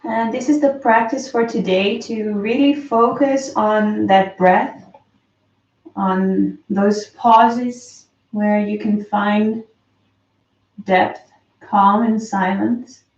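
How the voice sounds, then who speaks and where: A woman slowly and softly guiding a breathing meditation, calm with long pauses. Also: mid-range voice. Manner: slow, soft, calm guidance with long pauses. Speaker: meditation teacher. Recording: roomy, distant, dull lo-fi sound.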